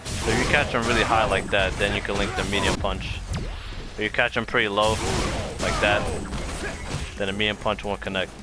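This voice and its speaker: A man's voice: lowish voice, fairly even intonation, moderate pace. A man giving a casual, off-the-cuff gaming tutorial, explaining loosely and circling back over his points. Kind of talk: casual off-the-cuff fighting game tutorial commentary. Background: music behind the voice.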